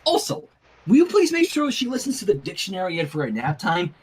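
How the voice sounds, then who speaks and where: A man's voice: sits high, huge pitch swings, fairly quick, slightly rough, exaggerated voice. A man voicing a bookish, chatty young woman reading out her letter in a wordy, polite, earnest way.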